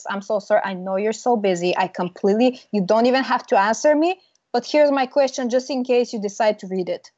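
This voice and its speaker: A woman's voice: a little high, a little mellow, dry recording. Confident young woman from Bulgaria on a video call. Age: young adult. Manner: confident, emphatic, laughs now and then. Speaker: guest, branding consultant. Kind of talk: casual interview on video call. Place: small room indoors. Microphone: earbud mic on video call. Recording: dull lo-fi video call audio.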